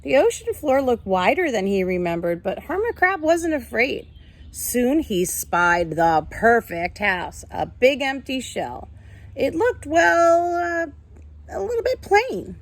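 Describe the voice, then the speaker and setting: A woman teacher reading a picture book aloud in an animated way, talking to children. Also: high-pitched, takes it slowly. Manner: animated, addressing children with questions.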